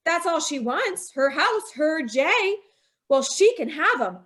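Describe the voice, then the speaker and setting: A woman's voice: high voice, very animated, slow, fairly bright tone, full character voice. A woman playing a terrified young wife with a noose around her neck in an old-time radio drama, pleading and sobbing. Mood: bitter. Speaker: radio actor.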